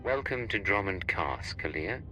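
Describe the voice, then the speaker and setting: A man's voice: low voice, animated, measured pace, pushed and bright, soft background music, full character voice. An actor playing a terse, self-assured male secret agent in a video game, clipped, cool and formal. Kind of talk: scripted game cutscene dialogue.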